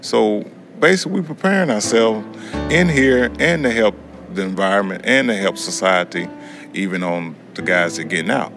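A man's voice: on the low side, expressive, normal speed. A middle-aged American man talks earnestly and colloquially in an interview as a student. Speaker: prison education student. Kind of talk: candid interview talk. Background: acoustic guitar music.

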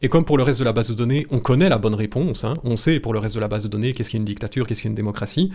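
A man's voice: medium pitch, rapid-fire, a little mellow. A man in his thirties with a Belgian French accent, teaching statistics live in a chatty, reassuring, casually blunt and jokey way, close to the mic in a small room. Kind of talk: informal live tutorial, explaining step by step. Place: small home room, indoors.

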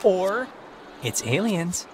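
A man voicing a talkative, nosy, goofy teenage high school boy in naturalistic video game dialogue, rambling and jokey with a flair for drama. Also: big pitch swings, takes it slowly, heavily acted, low-quality recording. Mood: playful. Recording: game music under the voice.

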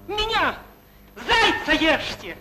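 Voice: very high-pitched, sing-song, takes it slowly, noisy background, full character voice. An actor voicing a poor, ragged young male hare who speaks with melodramatic pleading in an old cartoon. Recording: old 1940s film soundtrack with music.

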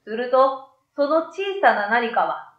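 A woman's voice: a little high, very animated, slow. A middle-aged woman narrating a children's story from a script into a desktop microphone in a small room. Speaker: story reader. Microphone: desktop condenser microphone. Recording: roomy, slightly dull sound.

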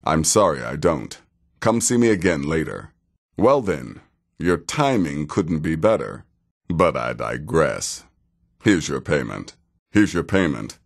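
An actor playing a cynical, seasoned male assassin in a video game, with a dry, deadpan and deliberate manner. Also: very deep voice, gravelly, full character voice. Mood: measured, businesslike. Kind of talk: video game character dialogue.

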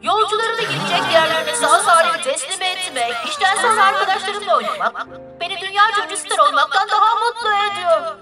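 A woman's voice: very high-pitched, animated, a little fast, hardly any pauses. A woman voicing a kind, hesitant young male talking bus in a children's cartoon, speaking politely and sincerely. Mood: earnest, resolute. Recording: music behind the voice.

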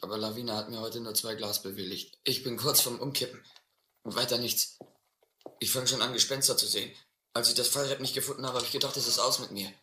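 An actor playing a desperate, educated drifter who drinks hard, rambling and pleading in halting bursts in a radio adventure play. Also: male voice, medium pitch, bright, forceful tone, echoey room, slight background noise. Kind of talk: German audio adventure drama.

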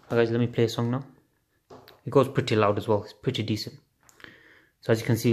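A man chats his way through an unboxing in a flat, offhand voice, dropping the odd swear word as he thinks aloud. He is recorded on a phone held above a table at home late at night. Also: medium pitch. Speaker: unboxing video host. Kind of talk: casual unboxing chat. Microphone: phone camera filming hands on a table.

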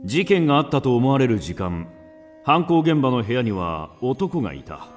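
An actor playing a businesslike police detective in a radio drama, terse and authoritative, with clipped, deliberate delivery. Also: male voice, medium pitch, sing-song, soft background music, natural voice. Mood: stern, clinical.

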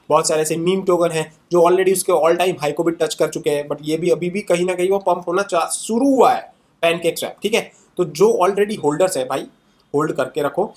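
A young man hosting his own channel, talking through charts in a casual, conversational way and closing many sentences with quick tags and fillers. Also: fairly high pitch. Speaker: crypto channel host. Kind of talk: casual screen-share market analysis.

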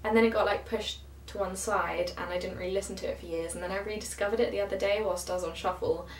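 A woman's voice: mid-range voice, steady tone, fast. A young woman with a cold and a slightly nasal voice, chatting casually to camera. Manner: chatty, rambling with many fillers. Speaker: cover singer and vlogger. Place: small bedroom at a keyboard. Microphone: camera across the room. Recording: echoey room, voice from a distance.

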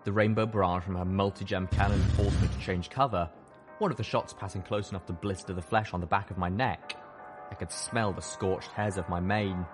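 Low-voiced man narrating a story. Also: steady tone, a little fast, over music. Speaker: audiobook narrator. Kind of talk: scripted first-person story narration.

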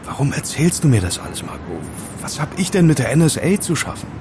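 A man's voice: on the high side, sing-song, brisk, background noise. A man playing a puzzled young hacker in an audio drama, with a wary, curt, questioning manner. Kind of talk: German audio drama acting.

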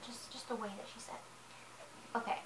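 A young woman with a high, girlish voice, rambling in a personal vlog from her sofa with lots of fillers and self-corrections. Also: normal speed. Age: young adult. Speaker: vlogger. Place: living room, seated on a sofa. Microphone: camera in front of her. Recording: echoey room with noise.

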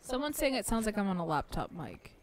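A woman's voice: medium pitch, huge pitch swings, fairly quick. A young woman co-hosting a game stream at home, teasing her partner and reading viewer comments aloud. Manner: teasing, playful, reads chat aloud. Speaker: co-host streamer. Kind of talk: casual livestream banter. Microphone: studio mic through an audio interface, in-ear headphones.

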